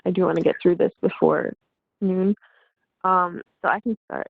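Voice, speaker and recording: A woman in student government speaking in an online meeting, losing her voice, informal and halting with fillers. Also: lowish voice, creaky, dry recording. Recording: tinny phone-like call audio.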